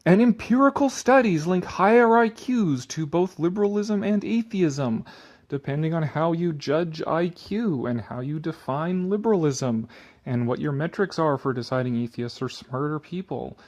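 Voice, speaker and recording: A man's voice: a little high, animated, moderate pace, a little mellow. A man giving a dramatic reading with sarcastic, exasperated asides and casual swearing, shifting between declaiming and offhand muttering. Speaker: commentator reading an article aloud.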